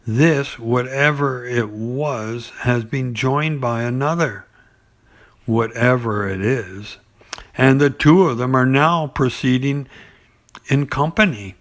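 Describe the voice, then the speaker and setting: A man voicing a mild, slow-witted storybook bear who muses in a puzzled, deliberate manner. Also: mid-range voice, close to an everyday voice. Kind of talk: children's storybook character voice.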